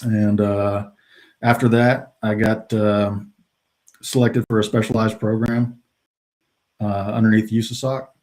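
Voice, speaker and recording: A middle-aged man from the United States, a retired soldier, telling his career story as a podcast guest in a relaxed way with many fillers. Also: fairly low pitch, a little slow, pauses often. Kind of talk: casual interview talk.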